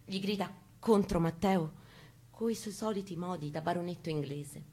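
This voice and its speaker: A woman's voice: lowish voice, moderate pace, dark tone, husky. A woman reading a first-person narrative aloud in an intense, theatrical, emphatic way. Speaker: stage reader and narrator. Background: music accompaniment, guitar.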